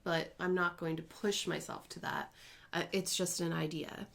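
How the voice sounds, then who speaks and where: A woman's voice: lowish voice, fairly even intonation, moderate pace, vocal fry. A woman in her thirties talking casually at home about her cross-stitch projects, in a chatty, rambling way with small self-corrections. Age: 30s. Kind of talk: casual chatty crafting vlog.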